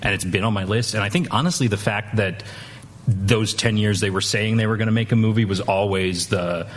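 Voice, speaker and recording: A man chatting casually as a panelist on a movie news show, with a somewhat halting delivery. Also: fairly low pitch, fairly even intonation, slight background noise.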